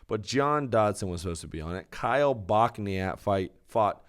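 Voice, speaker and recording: Deep smooth guy co-hosting a sports podcast, casual, explains stuff as he goes. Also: expressive, moderate pace. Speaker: podcast co-host.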